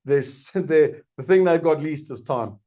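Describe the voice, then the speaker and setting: A middle-aged man with a South African accent, hosting a live webinar and persuasively pitching an investment course, answering common questions himself. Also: a little slow, warm tone. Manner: earnest, persuasive, asks and answers his own questions. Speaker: investment program host.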